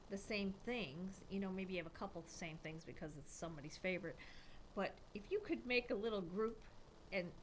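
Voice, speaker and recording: Older woman radio host; warm-voiced banter, mid pitch, noisy recording. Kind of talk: casual faith talk on radio.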